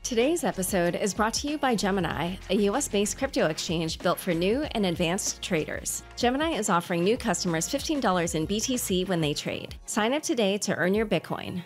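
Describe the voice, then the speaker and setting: A woman's voice: medium pitch, animated, fairly quick, few pauses, soft background music. A woman reading a scripted sponsor advertisement as an announcer. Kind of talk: scripted ad read.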